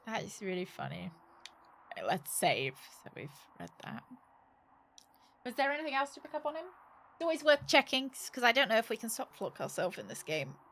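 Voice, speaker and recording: A woman's voice: fairly high pitch, very animated, brisk, fairly bright tone, slight background noise. A woman game streamer giving casual, playful live commentary, thinking aloud and exclaiming as she plays.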